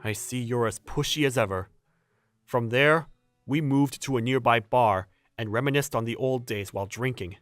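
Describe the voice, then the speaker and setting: A man's voice: mid-range voice, normal speed, a bit bright, no echo, soft background music, close to an everyday voice. A young man playing a polite, cautious new employee, speaking dialogue and first-person narration in a manga dub. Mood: flustered, wary. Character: hesitant, polite young man, newly hired junior employee.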